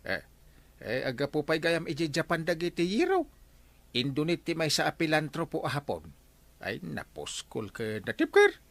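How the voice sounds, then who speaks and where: A village captain in an Ilocano radio comedy drama. His voice sits a little high for a man, and he talks in a halting, official way with an Ilocano Filipino accent, padding his replies as he fields complaints. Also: big pitch swings, vocal fry, a bit bright, no echo, heavily acted. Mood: explaining, businesslike.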